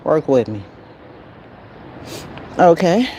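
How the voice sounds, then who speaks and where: Slow-talking American woman, outdoors. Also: sits low, sing-song, mellow. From United States. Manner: folksy, talks to herself and her plants. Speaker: garden vlogger, livestream host. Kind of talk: casual livestream chat while gardening. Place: outdoors in a garden. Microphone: handheld phone. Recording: noisy outdoor phone recording.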